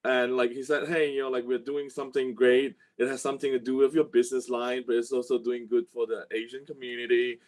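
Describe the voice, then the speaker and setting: A man's voice: medium pitch, little pitch movement, brisk, hardly any pauses, a little mellow. A man from Malaysia speaking casually and hesitantly in a friendly way on an online group call. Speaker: IT business volunteer. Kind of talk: casual self-introduction.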